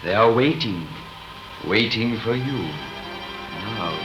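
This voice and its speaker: A man's voice: fairly low pitch, lively intonation, slow, dull audio. A man announcing a horror programme in an ominous, theatrical 1930s radio style. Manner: ominous, dramatic. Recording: old 1930s radio recording. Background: music.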